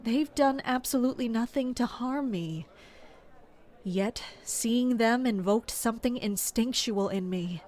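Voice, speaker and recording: A woman playing a guarded, watchful palace servant who narrates a fantasy audio drama in a measured, serious way. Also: on the high side, a little mellow, close to an everyday voice. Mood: wary, reflective. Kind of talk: scripted first-person audio drama narration.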